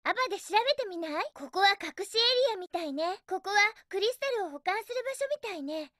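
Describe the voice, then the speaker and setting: A woman's voice: very high voice, lively intonation, a little fast, no room sound, studio quality. A woman voicing a cheerful, feisty young hedgehog girl in a video game, with a girlish voice and a perky, sassy, emphatic delivery. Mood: curious. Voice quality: bright, girlish. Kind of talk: Japanese video game voice acting.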